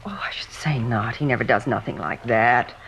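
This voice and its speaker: An actress playing a prim, fretful, homely middle-aged housewife in an old radio drama, with a folksy way of talking. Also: female voice, a bass voice, normal speed, fairly bright tone. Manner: folksy, indignant, ungrammatical. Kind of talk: 1950s radio drama acting. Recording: dull, noisy old radio recording.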